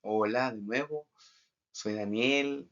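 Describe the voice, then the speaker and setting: A young man from Argentina with an Argentine accent, a student giving a formal final project presentation in measured phrases with pauses. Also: mid-range voice, a bit bright. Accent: Argentine Spanish. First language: Spanish. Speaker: international trade student. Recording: dull lo-fi recording.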